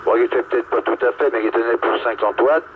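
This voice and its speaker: A man's voice: mid-range voice, fast. A man in his late sixties chatting casually over two-way radio as a hobbyist. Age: 60s. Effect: two-way radio transmission. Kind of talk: casual amateur radio chat. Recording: tinny, noisy two-way radio.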